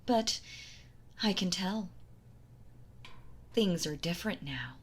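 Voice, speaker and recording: A woman's voice: lowish voice, animated, full character voice. A woman playing a possessive, sweetly cruel yandere wife in an intimate roleplay audio drama, gloating and mock-tender as she toys with her captive. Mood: smug, quiet menace. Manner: slow taunting delivery, breathy soft laughs, mock-tender.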